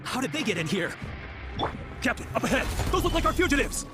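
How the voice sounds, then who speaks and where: A man's voice: very high voice, big pitch swings, fast, bright, forceful tone, soft background music, full character voice. An actor playing an alert, urgent male police subordinate in a video game. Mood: alarmed. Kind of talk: English video game voice acting.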